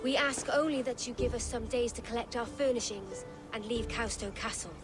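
Woman playing a formal, higher-voiced noblewoman envoy. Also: close to an everyday voice. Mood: solemn. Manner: formal, measured, stately. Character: dignified middle-aged noblewoman envoy, measured and formal. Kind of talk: video game dialogue acting. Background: music.

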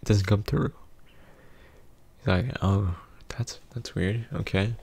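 A young man telling a casual comedic story in a conversational way, with mock-dramatic pauses, close on a studio mic. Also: lowish voice, creaky, a little mellow, slightly rough. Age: young adult. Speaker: video host, storyteller. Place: small indoor home studio. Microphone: close studio condenser mic with pop filter.

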